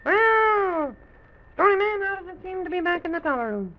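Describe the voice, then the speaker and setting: Tiny high-pitched childlike radio character. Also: male voice, very animated, moderate pace, a little hiss or hum. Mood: eager, bustling. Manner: exclaiming, wondering, repeating phrases. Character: President Pip, small excitable childlike character, curious and eager. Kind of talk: old-time children's radio serial acting. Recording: dull vintage radio recording with music.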